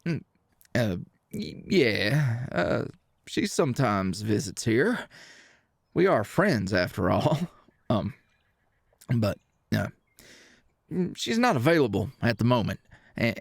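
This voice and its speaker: An actor playing a friendly, awkward, protective rancher with a Southern US accent and hesitant, stammering, folksy speech in an ASMR roleplay. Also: male voice, medium pitch, very animated, takes it slowly, creaky, pauses often, no echo, studio quality, full character voice. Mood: nervous, evasive.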